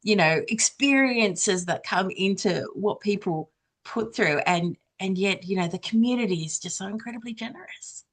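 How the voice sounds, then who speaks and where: A woman's voice: mid-range voice, normal speed. A woman speaking conversationally as an audio creator taking part in a panel discussion.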